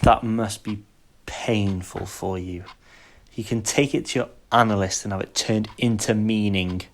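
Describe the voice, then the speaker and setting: A man's voice: lowish voice, fairly even intonation, measured pace. A young man acting a cool, dismissive character arguing in an audio drama. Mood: cool, sardonic. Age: young adult. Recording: faint noise and clicks, home recording.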